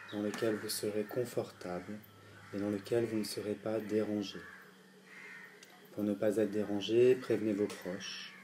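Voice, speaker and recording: A man with a fairly low voice leads a guided relaxation. He speaks calmly and gently, placing each instruction deliberately and leaving pauses. Faint night insects and natural sounds sit behind his voice. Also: a little hiss or hum. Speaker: yoga nidra guide. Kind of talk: guided meditation. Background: crickets and insects at night.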